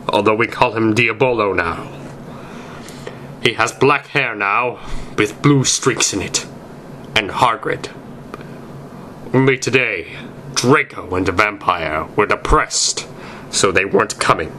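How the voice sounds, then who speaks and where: Slow, deliberate male story narrator. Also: lowish voice, sharp tone, a little hiss or hum. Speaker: narrator reading fan fiction aloud. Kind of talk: dramatic amateur story reading.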